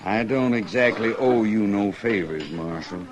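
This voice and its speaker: Actor as sullen western gambler, dull recording. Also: male voice, on the low side, measured pace, a crowd in the background, natural voice. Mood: resentful. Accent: American. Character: sullen, slippery frontier gambler with a grudge. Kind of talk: old-time radio western acting. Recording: dull vintage radio, crowded saloon behind.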